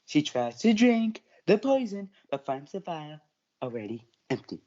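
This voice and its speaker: Casting: female narrator, very low and mellow, animated intonation, school play script, lo-fi sound. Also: a little slow. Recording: dull lo-fi audio.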